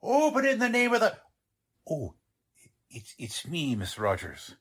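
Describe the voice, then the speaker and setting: An actor playing a plodding police sergeant who talks in folksy, ungrammatical street slang, in the style of a 1940s radio drama. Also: male voice, a little high, wildly swooping pitch, unhurried, heavy background noise. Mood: apologetic. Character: blunt, plodding middle-aged male police sergeant.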